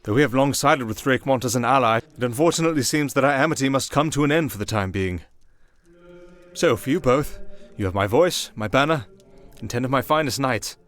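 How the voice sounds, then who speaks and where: A man voicing a kindly, wry old high priest in a fantasy audio roleplay, with fatherly, ceremonious, unhurried phrasing. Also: a bit bright, close to an everyday voice. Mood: solemn, wry. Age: older.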